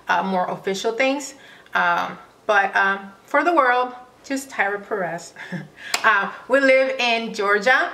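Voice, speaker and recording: A woman's voice: medium pitch, bright, forceful tone, slight background noise. A woman in her thirties from Panama with a Spanish accent, chatting to camera as host of her own Q and A vlog, laughing often and filling gaps with "um" and "you know". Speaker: vlogger host. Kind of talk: casual Q and A chat to camera.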